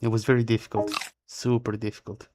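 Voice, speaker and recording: Chatty non-native streamer over noisy background. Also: male voice, lowish voice, level tone, fairly quick. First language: a language close to Italian. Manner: chatty, repeats and rephrases himself. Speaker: game streamer. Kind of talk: casual live-stream chat while playing.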